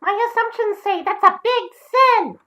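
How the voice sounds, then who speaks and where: A woman's voice: extremely high pitch, unhurried, sharp tone, pitched above the performer's own voice, full character voice. A woman voicing a polite, plucky little pig in a sing-song way for a parody fairy tale. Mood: defiant.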